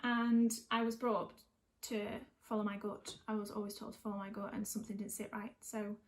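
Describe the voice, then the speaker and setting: A woman's voice: medium pitch, level tone, a little fast. A young woman from North West England, a singer-songwriter, chatting casually between songs in a hesitant, reflective, rambling way. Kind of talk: casual chat between songs at an online gig.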